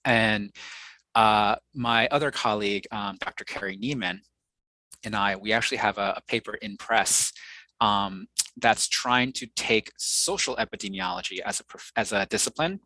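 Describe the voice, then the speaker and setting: Thoughtful, measured man whose first language is Mandarin. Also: fairly low pitch, sharp tone, no room sound, poor audio. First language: Mandarin Chinese. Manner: thoughtful, measured, with frequent pauses and fillers. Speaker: guest, audiologist and researcher. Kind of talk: conversational podcast interview.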